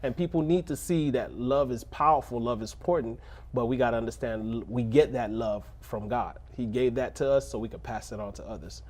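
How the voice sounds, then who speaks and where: A man who grew up in a rough neighborhood, speaking as a pastor in a casual interview, earnest and preacherly, breaking into laughs and jokes. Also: mid-range voice, a little fast, a little mellow. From Little Haiti, Miami.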